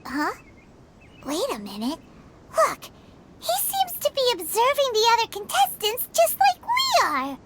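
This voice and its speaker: A woman voicing a tiny, excitable, nosy childlike fairy companion in a video game, with a squeaky voice. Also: a little slow, noisy background, heavily acted. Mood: curious, musing. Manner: excitable, nosy, speaks of self in third person. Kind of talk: video game character acting. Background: background music.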